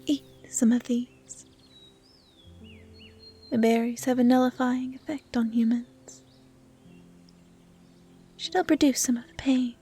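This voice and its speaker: A woman voicing a gentle, curious young dryad who tends the forest, speaking in a soft, breathy near-whisper in an intimate ASMR roleplay style. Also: fairly high pitch, fairly even intonation, slow, long pauses, faint music behind, heavily acted. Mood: tender, caring. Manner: hushed, breathy, caring delivery, often near whispering.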